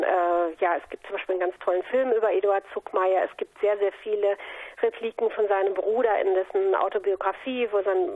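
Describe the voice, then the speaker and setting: A woman author answering interview questions in a reflective, explanatory way. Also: mid-range voice. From North Sea island, Germany. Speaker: interview guest, author and singer. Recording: narrow telephone-like radio sound.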